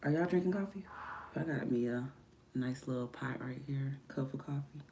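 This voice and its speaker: A woman chatting casually on a morning livestream from home, her voice deeper than usual from just waking up, rambling and drifting between soft murmurs and livelier bursts. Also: moderate pace, a little hiss or hum. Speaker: livestreamer and music artist.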